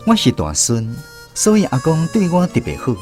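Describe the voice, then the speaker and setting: A man's voice: fairly high pitch, normal speed, music in the background. A man with a Taiwanese accent narrating a radio drama as a grown grandson fondly recalling his grandfather. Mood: fond reminiscing. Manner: expressive, reminiscing storytelling.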